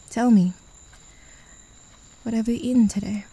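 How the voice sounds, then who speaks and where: A woman's voice: on the high side, dark tone, breathy edge, full character voice. A woman voicing a possessive female demon in an ASMR roleplay, talking down to her human pet in a teasing, condescending way, often whispering. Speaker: voice actor.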